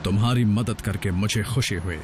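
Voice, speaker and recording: A man voicing a noble, calm giant robot leader in an animated series dub, speaking in a measured, formal way. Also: on the low side, background noise, natural voice.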